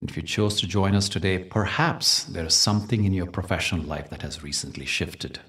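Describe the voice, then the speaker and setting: A man with a deep, low voice leads a guided meditation. He speaks gently and deliberately, pausing for effect as he tells stories and soothes the listener. His voice sits a little distant from the microphone. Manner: gentle, deliberate, soothing delivery with dramatic pauses. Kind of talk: guided meditation talk with storytelling.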